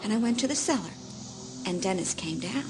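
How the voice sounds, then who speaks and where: A woman's voice: medium pitch, animated, fairly quick, over music, natural voice, poor audio. An actress playing an eerie, childlike, lovesick teenage girl who has turned murderous, rambling and pleading in an audiobook horror drama. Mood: eerie confessing.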